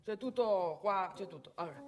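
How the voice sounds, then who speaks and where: A woman's voice: mid-range voice, lively intonation, normal speed, close to an everyday voice. An actress playing a cocky, crude young man with a northeastern Italian accent in a comic stage piece. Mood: brash, rowdy. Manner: blunt, slangy, addressing the audience. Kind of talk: comic theatrical monologue and dialogue.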